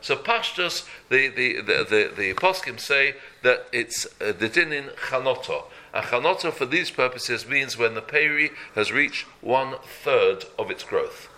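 Bright-voiced man teaching a casual Torah class. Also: medium pitch, expressive, low-quality recording. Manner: halting, repeats words, checks in with "yeah?".

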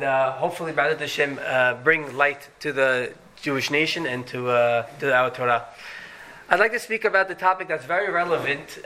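Casting: Syrian-born male rabbi, high and bright, earnest lecturer pushing to emphatic peaks. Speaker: rabbi giving a Torah talk. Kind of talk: Torah lecture.

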